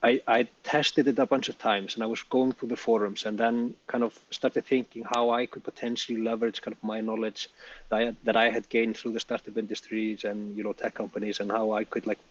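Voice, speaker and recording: A man's voice: on the low side, brisk. A man answering interview questions as a guest, hesitant and full of fillers. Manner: flat, hesitant, lots of fillers. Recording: distant, dull call audio.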